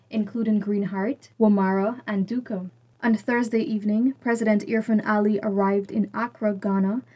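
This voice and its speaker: Female news voice-over, clear scripted read, medium pitch. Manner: clear, even newsreader delivery. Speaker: news narrator.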